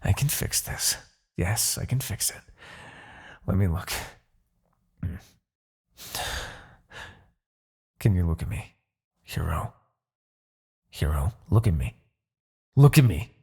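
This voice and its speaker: A man playing an arrogant, possessive demon king in a husky, theatrical ASMR fantasy roleplay. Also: deep voice, animated, darkish tone, exaggerated voice. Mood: shaken, pleading. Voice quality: husky, gravelly. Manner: theatrical, deliberate, swinging from murmurs to outbursts. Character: arrogant, possessive male demon king, obsessed with captive hero. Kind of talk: ASMR roleplay, dramatic monologue.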